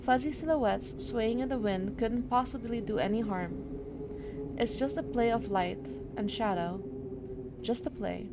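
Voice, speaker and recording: A woman's voice: fairly high pitch, unhurried, heavy background noise. A woman doing casual let's-play commentary and reading game text aloud, sounding slightly under the weather. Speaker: streamer playing a horror visual novel.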